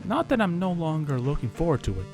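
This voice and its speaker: A man's voice: fairly high pitch, sing-song, fast. A man reading a scripted first-person story as a dramatic narrator, with theatrical storytelling delivery. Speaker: narrator reading his own horror story. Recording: music under the voice. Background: music.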